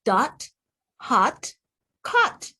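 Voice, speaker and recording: Slow, high-voiced woman reading to children. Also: sing-song, pushed and bright, slightly rough. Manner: deliberate word-by-word enunciation, asks the listener questions. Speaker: teacher reading aloud to young children. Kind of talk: read-aloud phonics lesson for children.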